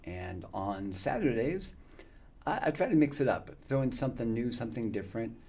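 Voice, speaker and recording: Gravelly fifty-something streamer, rambling chat. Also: male voice, medium pitch, animated, normal speed, a little mellow. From United States. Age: 50s. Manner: friendly, rambling, many uh fillers. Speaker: gaming streamer. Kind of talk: casual live-stream chat with viewers.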